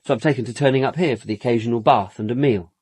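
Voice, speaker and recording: A man playing a young English idler in a radio murder mystery. He is effusive and glib, with a husky mid-range voice recorded dry. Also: mid-range voice, rapid-fire, darkish tone, dry recording, natural voice. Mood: casual, evasive. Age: young adult. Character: effusive, evasive young man, a widow's idle brother. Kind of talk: British radio drama dialogue.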